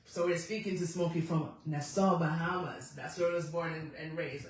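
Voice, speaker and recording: High-voiced man hosting in an echoey room. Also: steady tone, moderate pace. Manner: chatty, laughs often, repeats guest's answers back. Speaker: radio show host. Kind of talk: relaxed radio interview. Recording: echoey room, distant from the mic.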